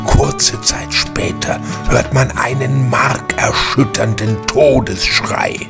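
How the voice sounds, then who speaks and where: An actor as the narrator of a German radio crime drama, speaking in an ominous, suspenseful way. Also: male voice, medium pitch, slow, creaky. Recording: radio play narration over music. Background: music.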